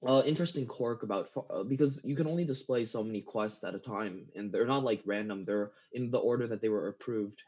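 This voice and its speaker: A man's voice: lowish voice, darkish tone. A man chatting casually as an interview guest, often stopping and rephrasing himself mid-sentence. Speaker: interview guest, game map builder. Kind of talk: casual chat. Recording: dull lo-fi voice recording.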